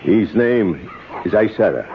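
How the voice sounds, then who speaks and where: A man's voice: on the low side, animated, unhurried. An actor in a radio drama playing a humble, anxious native camp foreman with formal, careful, deferential speech. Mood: polite, guarded. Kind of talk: 1970s radio drama acting. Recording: muffled, noisy old radio broadcast.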